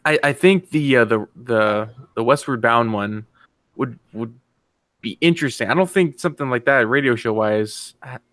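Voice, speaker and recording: A man's voice: mid-range voice, moderate pace, a bit bright, dry recording. Dry, close-sounding man thinking aloud. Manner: tentative, questioning, repeats words. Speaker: co-writer on a brainstorming stream. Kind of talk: casual brainstorming chat.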